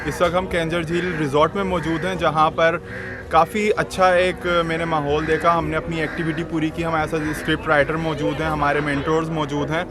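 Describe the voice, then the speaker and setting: A man's voice: on the high side, little pitch movement, fairly quick. A male student giving a testimonial to camera at an outdoor workshop. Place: outdoors at a lakeside resort. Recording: outdoor recording with music over it. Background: background music.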